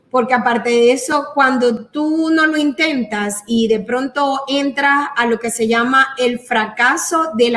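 A middle-aged Venezuelan woman speaking as an interview guest, earnest, talking in long flowing runs. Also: fairly high pitch. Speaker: guest, entrepreneur. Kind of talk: interview conversation.

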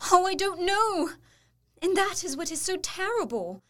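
A frail young lady in a radio play, with a high, sweet voice. She sounds plaintive, earnest and trusting, with fear underneath. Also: big pitch swings, normal speed, a bit bright, full character voice. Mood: fearful. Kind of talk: audio drama acting.

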